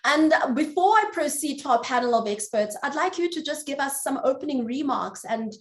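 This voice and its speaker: A woman's voice: sits high, animated, fairly quick, echoey room. A woman moderating a panel, who introduces her guest in an admiring, slightly rambling way. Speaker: moderator, host.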